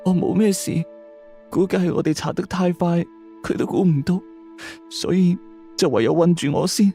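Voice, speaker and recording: A man voicing a young police colleague in an audio drama, creaky and muted, explaining in a subdued way. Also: high-pitched, moderate pace, mellow, pitched above the performer's own voice. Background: background music.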